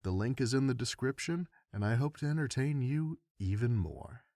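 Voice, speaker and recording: A man's voice: medium pitch, animated, normal speed, darkish tone. A man delivering a scripted promotional intro as the creator of audio roleplays.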